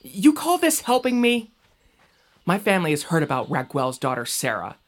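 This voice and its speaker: An actor playing an earnest, excitable young male traveler in an audio drama, expressive and sometimes hesitant. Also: fairly high pitch, moderate pace. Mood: alarmed, protesting. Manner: expressive, wide-eyed. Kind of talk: scripted audio drama acting.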